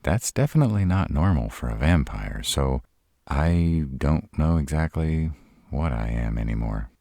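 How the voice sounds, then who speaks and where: Man acting sarcastic male vampire, roleplay drama, low creaky voice, nervous, hesitant, stammering, exasperated. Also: animated, unhurried, dark tone, no echo, studio quality, heavily acted. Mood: uneasy.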